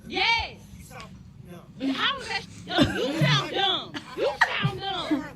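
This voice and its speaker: A woman ranting loudly in a viral phone video, shouting at times and laughing while angry. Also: extremely high pitch, sing-song, slow pace, soft background music, some chatter behind. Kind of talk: heated rant to camera. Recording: noisy, echoey phone video from far off.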